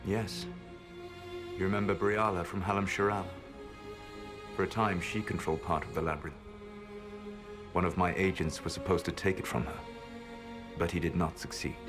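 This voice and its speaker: An actor playing a weary, regretful but resolute ancient mage in a video game, speaking in a grave, measured, formal way. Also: male voice, sits low, little pitch movement, lightly acted. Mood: solemn, resolute. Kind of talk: dramatic video game acting. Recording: loud orchestral game music behind.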